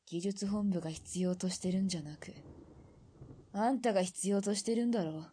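A woman's voice: medium pitch, brisk, dark tone, slight background noise, natural voice. An actress playing a defiant woman marksman in a radio drama, speaking in a cold, cutting, blunt way.

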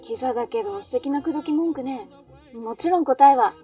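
Actress as inquisitive female cafe regular, high-pitched, feminine, radio drama, faint music underneath. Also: animated, brisk, recorded from a distance. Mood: curious. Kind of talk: Japanese audio drama acting.

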